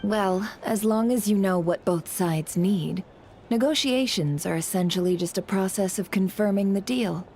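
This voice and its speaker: A woman's voice: medium pitch, normal speed, darkish tone, a little hiss or hum, close to an everyday voice. A woman playing a poised, shrewd and influential lady in a game, speaking with formal composure and persuasion. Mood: smooth, confiding. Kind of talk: scripted game voice acting. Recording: music behind the voice.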